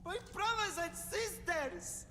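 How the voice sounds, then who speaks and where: An actor playing a fanatical cultist in a video game, proclaiming ascension to the gods in a grandiose, exultant incantation. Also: male voice, very high-pitched, slow pace. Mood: exultant, fervent. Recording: long reverb with music behind.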